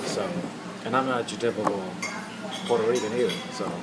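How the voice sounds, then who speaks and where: A man's voice: fairly low pitch, steady tone, fairly quick, very noisy. A man who grew up in the States, chatting casually on a podcast with slangy asides. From United States. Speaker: podcast co-host. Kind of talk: casual chat.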